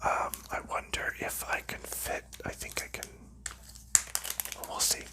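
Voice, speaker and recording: A man hosting an ASMR video in a soft unvoiced whisper, calmly narrating each step as he packs up a board game, with relaxed fillers. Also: unhurried. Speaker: ASMR channel host.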